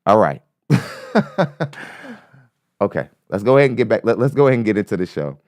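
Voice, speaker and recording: Man, podcast host, light voice, rambling, cursing, clear tone, dry studio sound. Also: lowish voice, big pitch swings, moderate pace, darkish tone, dry recording. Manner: rambling, repeats himself, curses freely. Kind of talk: casual commentary on a clip. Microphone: studio mic.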